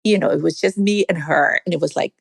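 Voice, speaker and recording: A woman's voice: low-pitched, fast talker, vocal fry, husky, no echo, clean studio sound. A young adult woman from Sweden, a podcast guest, telling reflective stories about her family in a casual conversation. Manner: reflective, warm storytelling with many "like" fillers.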